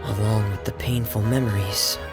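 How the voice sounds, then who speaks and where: A man playing a gentle, earnest, soft-spoken youth in a video game, speaking hesitantly and reflectively. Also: on the low side, fairly even intonation, normal speed, natural voice. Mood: somber, reflective. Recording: music behind the voice. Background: game music.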